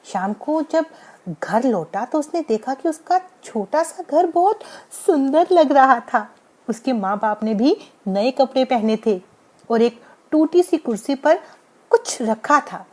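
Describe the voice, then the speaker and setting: Female storyteller for bedtime tales, high pitch, very mellow tone, scripted narration. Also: lively intonation, slow pace.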